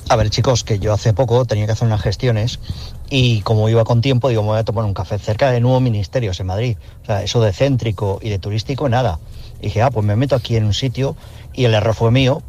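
A man calls in to a radio show and tells a wry story at a quick clip. His voice is mellow, and the line sounds dull and slightly noisy. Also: fairly low pitch, fairly even intonation. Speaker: caller. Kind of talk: telling an anecdote.

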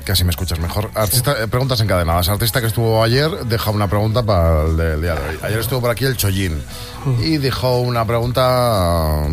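Low-voiced male co-host. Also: expressive, quick pace, faint music behind. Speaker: radio co-host.

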